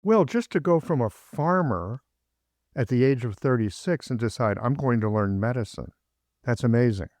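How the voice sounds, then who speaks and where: A man hosts a podcast interview and asks curious questions that wander as he goes. His pitch sits mid-range, and the recording is close and dry, with no room sound. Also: expressive, normal speed, a little mellow, dry recording. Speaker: podcast host. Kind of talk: casual interview.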